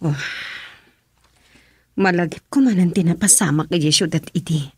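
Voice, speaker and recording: A woman playing a gentle, worried wife in a radio drama. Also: on the low side, normal speed, slightly rough, dry recording. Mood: concerned.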